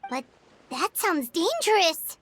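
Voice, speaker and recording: A woman voicing a tiny, excitable fairy companion girl in a video game, squeaky and childlike, blunt and exclamatory. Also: takes it slowly, a little hiss or hum, full character voice. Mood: concerned. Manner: exclamatory, speaks of herself in third person. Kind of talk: video game character acting.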